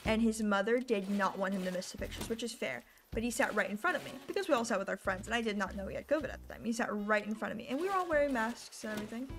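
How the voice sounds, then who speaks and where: A young woman with a rough, hoarse voice chats loosely into a desk mic as she plays, drifting from one thought to the next. She teases herself often and keeps an easygoing, unhurried manner. Music plays clearly beneath her voice. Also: medium pitch, animated. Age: young adult. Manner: rambling, self-deprecating, chatty. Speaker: live streamer. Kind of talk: casual gaming stream chat. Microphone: desk microphone. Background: music playing.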